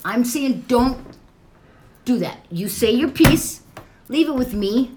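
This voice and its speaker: A woman's voice: on the high side, lively intonation, takes it slowly, background noise. Firm, insistent woman. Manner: firm, insistent, cutting in with short commands. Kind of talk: heated personal conversation.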